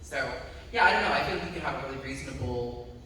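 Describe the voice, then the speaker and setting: A man's voice: medium pitch, lively intonation, quick pace, bright, forceful tone, slight background noise. A man presenting and taking audience questions in a loose, hedging way, with many restarts. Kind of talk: casual Q&A talk. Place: lecture room with audience. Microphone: phone camera at a distance. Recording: echoey hall, phone from far off.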